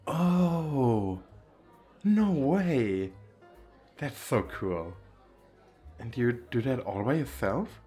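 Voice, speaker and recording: A man's voice: medium pitch, expressive, extremely slow, pauses often, mellow, faint music behind. A man acting a shy, nervous, wealthy businessman on a speed date in an ASMR roleplay, talking in a hesitant, rambling, self-deprecating way. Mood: shy, earnest. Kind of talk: ASMR roleplay, intimate flirty chat.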